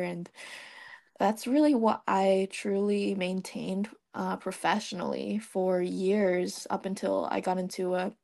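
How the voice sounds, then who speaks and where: A young woman from Vietnam, a model guest on a podcast, telling her story in an easy, relaxed way and filling pauses with ums and likes. Her voice sits at a middle pitch with a noticeable gravelly fry. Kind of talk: casual interview chat.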